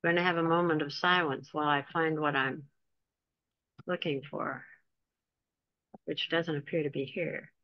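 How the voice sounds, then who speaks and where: A woman hosting an online talk, introducing the speaker in a chatty, relaxed way with light jokes. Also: deep voice, normal speed, long pauses, dry recording, lo-fi sound. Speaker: host and moderator. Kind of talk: introducing a speaker over a video call.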